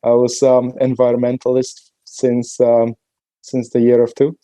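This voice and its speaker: A man from Poland, speaking as a podcast guest in a relaxed, hesitant way with many fillers. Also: medium pitch, a little slow, dry recording. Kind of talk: conversational podcast interview.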